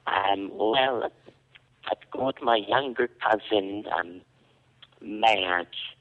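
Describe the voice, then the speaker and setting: A man putting on a raspy, muddled woman's voice as a prank caller, rambling and hesitant in a dull lo-fi phone recording. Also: lowish voice, extremely slow. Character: confused raspy female prank caller claiming to be a young woman.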